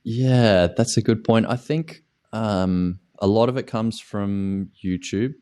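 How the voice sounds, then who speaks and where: A man's voice: lowish voice, takes it slowly, dark tone, clear, resonant tone. An Australian man, an online ski coach, answering interview questions in a casual, rambling way with many restarts and fillers.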